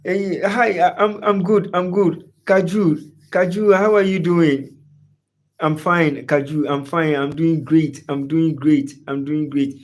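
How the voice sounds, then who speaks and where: A man's voice: on the high side, steady tone, slow pace. A man from Ghana with a Ghanaian English accent hosts a live football chat. He greets viewers by name and asks the same questions again and again. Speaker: sports livestream host. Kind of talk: live sports chat reading viewer comments.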